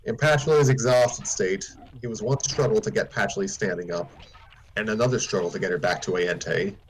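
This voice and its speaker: A man's voice: on the low side, fairly quick, slight background noise, low-quality recording. A man reading a story aloud informally, now and then stumbling and repeating words. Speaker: story reader on a stream.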